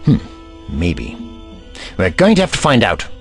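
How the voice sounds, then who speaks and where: A man playing an eccentric, brainy time traveller in an audio drama, kindly but testy, musing aloud in a wordy, gently pedantic manner. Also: lowish voice, huge pitch swings, measured pace, gravelly, faint music behind, full character voice. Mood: wary, musing.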